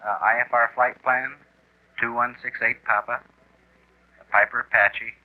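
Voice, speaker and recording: A man's voice: medium pitch, flat delivery, unhurried, bright, forceful tone. A man, a private pilot, giving a clipped flight-plan report over an old phone line.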